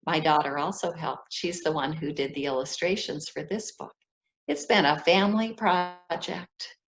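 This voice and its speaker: An older American woman with a slightly low voice gives a personal storytelling talk aimed at children. She speaks as an author sharing memories and explaining things in simple terms. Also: normal speed, poor audio. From grew up in Ethiopia as an American child.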